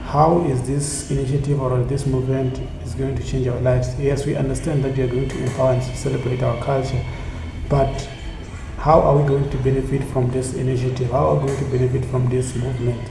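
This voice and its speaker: Earnest man, flat voice. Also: mid-range voice, creaky. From South Africa. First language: Tsonga. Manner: earnest, halting, repeats phrases. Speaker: community initiative founder. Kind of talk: reflective motivational monologue. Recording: echoey room with steady background noise.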